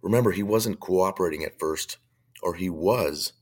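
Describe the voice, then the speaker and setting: A man hosting a faith-based talk radio show, hoarse from a chest cold, talking in a blunt, opinionated, conversational way. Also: low-pitched, normal speed.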